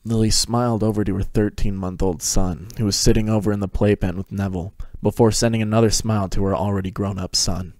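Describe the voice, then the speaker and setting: A man's voice: fairly low pitch, fairly even intonation, fast talker, hardly any pauses, a little mellow, no echo. A man narrating a fan fiction story as a scripted dramatic reading.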